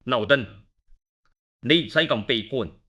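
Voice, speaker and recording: A man's voice: medium pitch, takes it slowly, sharp tone. A man acting an inquisitive, level-headed investigator who narrates and speaks in an audio drama. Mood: reassuring.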